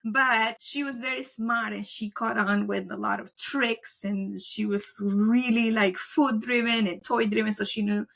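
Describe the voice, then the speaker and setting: Colombian lady rambling along on a video call, kinda gravelly voice, lots of "like". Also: fairly high pitch, fairly even intonation, a little slow, hardly any pauses, fairly bright tone. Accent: Colombian Spanish. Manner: chatty, rambling, many "like" fillers. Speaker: guest, veterinary technician and instructor. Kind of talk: casual interview chat. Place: home setup, remote recording.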